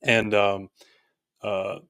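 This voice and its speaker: A man from the United States speaking as a podcast guest, explaining things in a conversational, halting, reflective way. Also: on the low side, little pitch movement, a bit bright, clear, resonant tone, dry recording, clean studio sound. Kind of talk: conversational interview.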